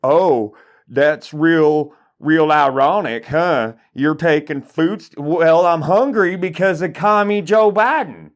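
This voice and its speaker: An indignant hillbilly, as a man plays him rants in a drawl, cursing freely and wandering from point to point. His voice sits a little higher than most men's. The delivery is a profane, rambling comic monologue. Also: slow pace. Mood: indignant ranting. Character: angry rural hillbilly man ranting about politics. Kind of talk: satirical comedic monologue.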